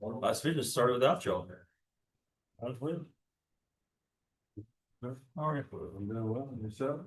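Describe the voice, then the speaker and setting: A man's voice: mid-range voice, takes it slowly, reverberant. A man, a county official chatting casually and easily before a meeting. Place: county courtroom.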